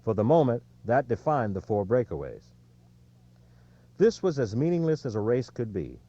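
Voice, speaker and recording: A man reading an audiobook in a measured, clearly scripted storytelling delivery, recorded with slightly lo-fi sound. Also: mid-range voice, big pitch swings, mellow. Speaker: audiobook narrator.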